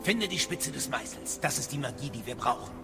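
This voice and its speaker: An actor playing a knowing, wry magical advisor in a video game audio drama, explaining things chattily. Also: male voice, mid-range voice, lively intonation, brisk, loud music behind the voice. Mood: solemn, explaining. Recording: long reverb, clear background noise.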